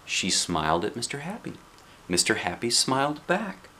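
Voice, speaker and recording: Animated man reading a children's storybook. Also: mid-range voice, moderate pace, slight background noise. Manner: playful, expressive, chatting with a child. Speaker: dad reading a picture book aloud.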